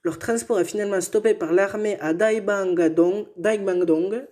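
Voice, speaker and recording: Mellow-voiced man reading history notes. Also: sits high, normal speed. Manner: reads aloud with stumbles and self-corrections, joking asides. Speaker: co-host telling history.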